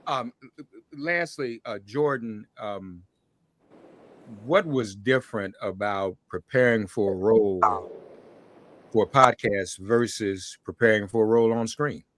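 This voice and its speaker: A man's voice: lowish voice, a little slow, a bit bright, rough recording. A man hosting a casual conversational interview, with informal slang and joking asides. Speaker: interview host.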